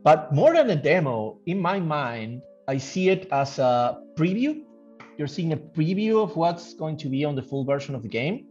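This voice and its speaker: Guy in an interview, kinda high voice, thinks out loud and repeats himself, dull audio w/ faint music under it. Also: expressive. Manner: halting, thinks aloud in repeated phrases, often checks with "right?". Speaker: game developer being interviewed. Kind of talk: casual interview answers. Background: background music.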